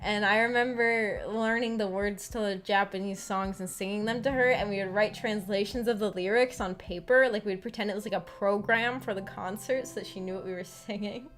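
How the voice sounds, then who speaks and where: A young adult woman livestreaming in a casual, halting, rambling chat with her viewers over background music. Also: medium pitch, fairly even intonation, fairly bright tone. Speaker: streamer hosting a visual novel book club.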